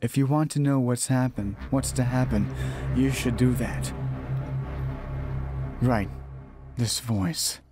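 A man's voice: medium pitch, fairly even intonation, takes it slowly, pauses often. A man narrating a horror visual novel as a let's play host, reading aloud and sometimes stumbling and rereading phrases. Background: game music.

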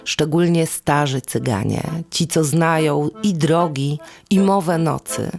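A woman storyteller narrating a folk tale dramatically, deliberately, with suspenseful pauses. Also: low-pitched, vocal fry, a little mellow. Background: music.

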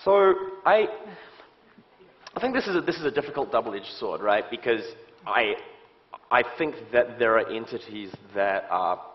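A man's voice: mid-range voice, animated. A man in his thirties with a New Zealand accent, a security expert answering interview questions on a stage, often restarting his sentences. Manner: halting, many restarts and fillers. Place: stage set with audience, indoors. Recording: muffled stage audio.

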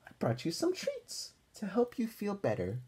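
A man's voice: sits high, huge pitch swings, normal speed, darkish tone, breathy edge, voice pushed up, exaggerated voice. A man voicing a caring, soothing old grandmother from a picture book about cartoon animals. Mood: kindly.

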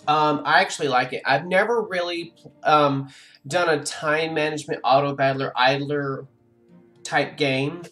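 A man's voice: a little high, steady tone, a little slow, pushed and bright, soft background music. A man in his forties, congested, chatting casually on a live stream while playing a game, rambling with many ums and restarts. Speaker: gaming streamer. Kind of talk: casual live-stream chat over gameplay. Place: home room with a webcam.

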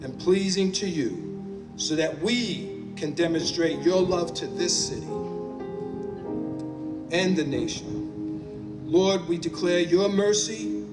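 An older man with a New Jersey accent prays slowly and earnestly at an outdoor podium. His somewhat high voice carries through an echoey PA and is picked up from a distance, with music playing underneath. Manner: slow, earnest declaring. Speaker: prayer leader at a public gathering. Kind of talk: public prayer. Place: outdoors on city hall steps. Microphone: podium mic on a stand.

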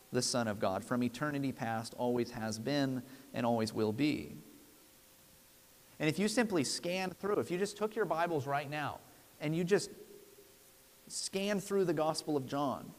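A man in his thirties preaching a sermon from a church pulpit in an earnest, emphatic, deliberate way. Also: fairly high pitch. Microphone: lapel mic. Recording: long hall reverb.

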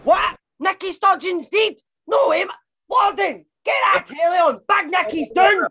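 A woman's voice: medium pitch, lively intonation, normal speed, a bit bright. Brash Glaswegian woman. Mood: shocked. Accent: Glaswegian Scottish. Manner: slangy, blunt, matey. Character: brash, chatty, sweary working-class Glaswegian woman.

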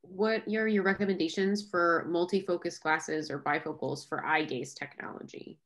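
A woman's voice: lowish voice, fairly even intonation, normal speed. A woman moderator reading out questions on a webinar over a video call.

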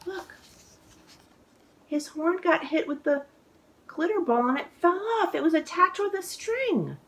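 A woman in her forties reading a children's picture book aloud, expressive and exclaiming, drawing out words for young listeners. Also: very high voice, a little slow. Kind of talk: animated children's read-aloud storytelling. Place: living room at home, indoors.

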